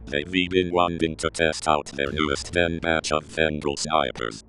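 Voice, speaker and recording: A man playing a cold, commanding crime boss in an audio drama, speaking curtly and calmly with authority. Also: a bass voice, measured pace, faint music behind. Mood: cold, menacing. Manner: curt, calm, authoritative. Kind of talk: scripted audio drama roleplay.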